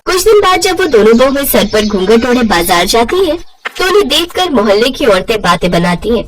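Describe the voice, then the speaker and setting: Animated, high-voiced woman storyteller. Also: brisk, crowd noise. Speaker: narrator. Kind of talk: scripted moral story narration.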